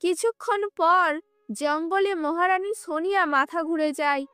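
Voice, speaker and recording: A woman's voice: very high-pitched, moderate pace, pushed and bright, soft background music. A woman narrating a fairy tale from a script for a cartoon.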